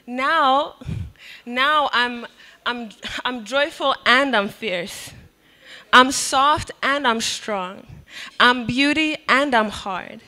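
A young woman performing spoken word poetry on a small outdoor stage into a handheld mic, expressive and breaking into laughs between lines. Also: on the high side, drawn-out words. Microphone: handheld mic on a stand.

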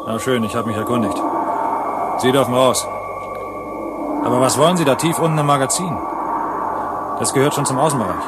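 An actor playing a plain-spoken male security guard in a radio play, colloquial and halting. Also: mid-range voice, very noisy, natural voice. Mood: chatty, wary. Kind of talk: German radio play acting.

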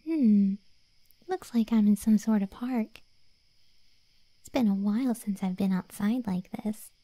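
Woman doing a cheeky zombie catgirl for asmr roleplay, very mellow n breathy, unhurried, teasing. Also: mid-range voice, no room sound, close to an everyday voice. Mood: sly musing. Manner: soft and breathy, often near whispering, slangy, teasing. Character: cocky, cheeky undead cat girl, cute and annoying.

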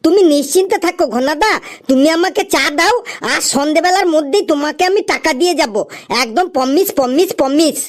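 A high-voiced, melodramatic cartoon customer, played by a woman. He is a boastful man who whines and protests with indignant exasperation. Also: fairly quick, natural voice. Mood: boastful, cajoling. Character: boastful, exasperated male tea-stall customer cadging credit. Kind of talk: exaggerated comic cartoon dialogue.

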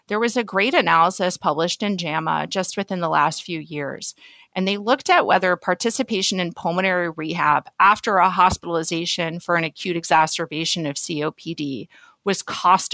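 A woman speaking as an expert medical guest in an interview, explaining in an articulate, measured way. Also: fairly low pitch, sharp tone, no room sound.

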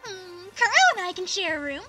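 A woman's voice: very high voice, animated, a little fast, over music. A woman voicing a cheery, childish young female character in a bubbly sing-song way for a fan audio drama. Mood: cheerful.

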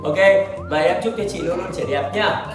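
A man's voice: high voice, normal speed, distant mic. A man in his thirties hosting a spa clinic promo, interviewing a customer in an upbeat, salesy way with lots of tag questions. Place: decorated spa studio set, indoors. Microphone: lapel mic. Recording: echoey room, off-mic, music behind.

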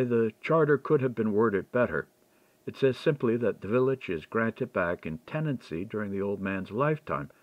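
A man's voice: lowish voice, no room sound. A man reading audiobook dialogue as a candid, shrewd clerk who was once a monk. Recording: dull, lo-fi dry recording.

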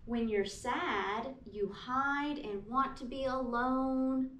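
A woman with a high voice reads a children's picture book very slowly. Her delivery is sing-song and aimed at small children. She is recorded in an echoey classroom. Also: fairly bright tone. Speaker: preschool teacher reading to children. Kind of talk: picture-book read-aloud for young children. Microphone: camera a few meters away. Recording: echoey room, distant mic, background noise.

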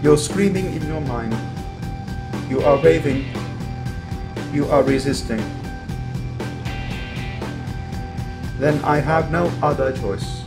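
Man voicing a slow, glitchy evil robot. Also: a little high, music in the background, close to an everyday voice. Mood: threatening, final. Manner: deliberate, glitching stutters on words. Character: calm, menacing evil robot overlord, coldly superior. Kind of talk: scripted robot roleplay monologue.

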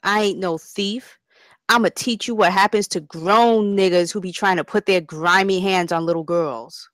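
A woman's voice: mid-range voice, steady tone, moderate pace, fairly bright tone, no room sound, lightly acted. Casting: actress as streetwise girl gang boss, hard and commanding, slangy drama read, dry close sound. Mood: menacing. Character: tough streetwise young female gang leader. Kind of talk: table read of a TV drama script.

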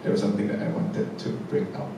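A man, a theatre director speaking hesitantly and reflectively on a panel after a show, with many fillers and restarts. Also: quick pace. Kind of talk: post-show panel discussion. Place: large theatre stage. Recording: echoey theatre from a distance with noise.

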